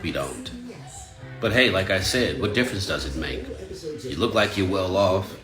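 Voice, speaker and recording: A man's voice: sits low, animated, moderate pace. A man talking sarcastically and dismissively in a response video. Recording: echoey room with loud music.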